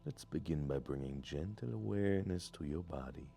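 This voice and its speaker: A man gently leading a guided meditation in a soothing, deliberate way with long pauses. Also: very deep voice, lively intonation, creaky. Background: music.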